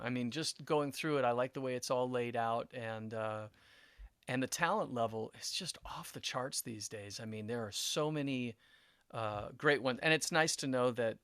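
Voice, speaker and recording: A man's voice: mid-range voice, moderate pace, a bit bright, clear, resonant tone, dry recording. A middle-aged man hosting an interview, friendly and earnest, often repeating words as he restarts his sentences. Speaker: interview host and producer. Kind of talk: conversational interview.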